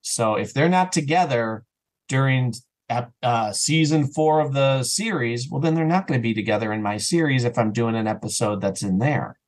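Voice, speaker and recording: A man chatting casually and enthusiastically as an interview guest, rambling and animated, sometimes acting out bits of dialogue. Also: mid-range voice, moderate pace. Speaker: interview guest, audio drama writer and actor.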